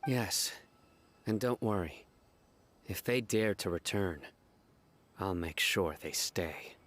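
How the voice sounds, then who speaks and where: An actor playing a stern, calm male officer in a video game, speaking in a measured, quietly threatening way. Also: low voice, full character voice.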